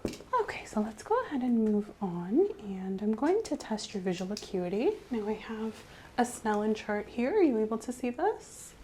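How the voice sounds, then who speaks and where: A woman with a mellow, dark-toned voice at an average pitch plays a medical examiner in soft ASMR style. She explains each step gently and reassuringly. A steady background noise sits behind her clip-on mic. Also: normal speed. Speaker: examiner in a medical role-play. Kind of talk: soft-spoken ASMR role-play of a medical exam.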